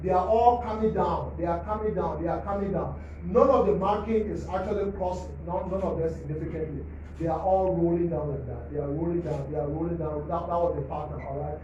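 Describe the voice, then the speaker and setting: A man's voice: fairly high pitch, a little fast, darkish tone, slight background noise. A man, a forensic pathologist at a press briefing, walking the press through slides like a teacher and checking "all right?" after each point. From Liberia. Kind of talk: explaining autopsy slides to the press. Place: government press briefing hall. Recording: distant mic, echoey muffled hall.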